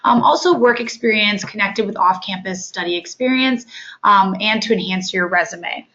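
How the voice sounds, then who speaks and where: A hoarse woman coordinator walks trainees through a webinar, her tone bright and forward in spite of the sickness. She talks haltingly, with plenty of fillers between phrases. The sound is dull and lo-fi, like a computer mic on a call. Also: medium pitch. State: hoarse from illness. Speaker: off-campus study coordinator.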